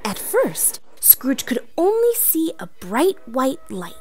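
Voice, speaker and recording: A woman's voice: high-pitched, huge pitch swings, slow, over music, heavily acted. A woman playing an earnest, theatrical narrator of a storybook read-along. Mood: dramatic, earnest. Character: earnest theatrical storyteller, comic author-narrator persona played female.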